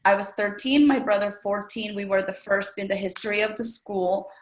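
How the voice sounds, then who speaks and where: A middle-aged woman, Spanish her first language, speaks as an interview guest. She shares her story earnestly and reflectively, pausing often. Her voice carries a noticeable roomy echo. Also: mid-range voice, fairly even intonation, slight background noise. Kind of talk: casual interview conversation.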